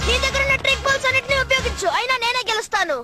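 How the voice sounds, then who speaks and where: A woman's voice: extremely high pitch, rapid-fire. A woman playing a cocky, competitive young boy in a Telugu cartoon dub. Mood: confident. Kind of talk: Telugu-dubbed cartoon acting. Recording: loud music behind the dialogue.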